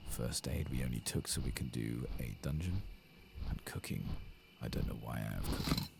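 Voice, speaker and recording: A man giving hushed, soft-spoken ASMR commentary as he plays through a game, at times close to a whisper. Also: rumbling low, normal speed. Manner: hushed, sometimes slipping into whisper. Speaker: streamer hosting a game playthrough. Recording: clear background noise and game ambience.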